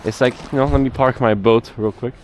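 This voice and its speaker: A man filming a casual travel vlog outdoors by a frozen lake, talking excitedly, laughing at himself and using plenty of fillers. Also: mid-range voice, a little fast. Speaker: travel vlogger. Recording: noisy outdoor recording.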